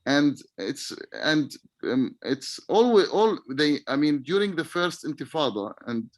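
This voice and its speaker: Halting man. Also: on the high side, sing-song, dry recording. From Palestine. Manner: halting, searching for words with many pauses. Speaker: webinar guest. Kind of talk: answering interview questions. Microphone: video call.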